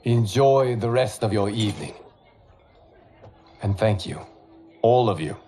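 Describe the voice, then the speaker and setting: An actor playing a wise, serene monk guardian who speaks in a formal, measured way. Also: male voice, fairly low pitch, dark tone, slight background noise, lightly acted. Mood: solemn. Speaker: leader of the champions.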